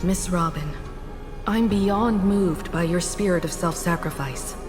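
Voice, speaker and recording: A woman's voice: lowish voice, a little slow, natural voice. A woman voicing a composed, kindly, mature leader in a video game, courteous and poised. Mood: sincere, reassuring. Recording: loud music behind the voice.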